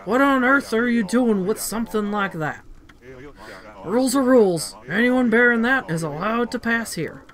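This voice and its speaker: A woman's voice: a little high, expressive, normal speed, natural voice. A woman reading the lines of a formal, rule-bound gate guard. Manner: formal, dutiful.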